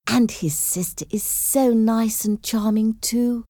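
A woman's voice: on the high side, fairly even intonation, slow pace, mellow, no room sound, clean studio sound, voice pushed up. A woman voicing a sweet, trusting young woman in a storybook, with an earnest, gushing manner. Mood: cheerful.

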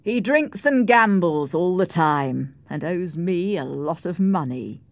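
A woman voicing a sly, menacing gentleman villain in an audiobook, assured and deliberate. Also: on the low side, animated, no echo. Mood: gloating. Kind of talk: audiobook character voice.

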